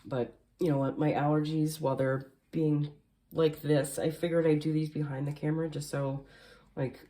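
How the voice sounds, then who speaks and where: Low-voiced chatty woman reading tarot. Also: little pitch movement, normal speed, warm tone. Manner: chatty, rambling with many fillers, occasional coughs. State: slightly nasal and hoarse from allergies. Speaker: tarot reader. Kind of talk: casual tarot card reading. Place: indoors at a table at home. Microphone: camera facing the table, speaker mostly off screen.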